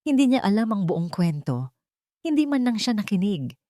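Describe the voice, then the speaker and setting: A firm, protective young gentleman from a romantic drama, voiced by a woman narrator. He speaks calmly but insists, with lively intonation and a dry, close sound. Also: mid-range voice, moderate pace, no room sound, natural voice. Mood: quiet indignation. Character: concerned, firm, protective young wealthy man.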